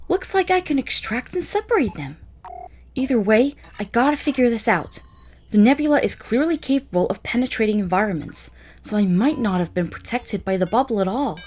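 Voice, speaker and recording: Woman acting a bright-pitched, expressive, supportive young woman. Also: brisk, slight background noise, natural voice. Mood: puzzled, determined. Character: supportive, thoughtful, encouraging young woman in a space adventure. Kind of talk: comic dub voice acting.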